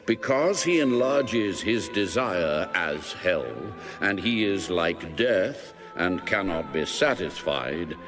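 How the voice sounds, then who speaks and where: A man giving a formal, solemn scripture narration in a measured manner. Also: fairly low pitch. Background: music behind the voice.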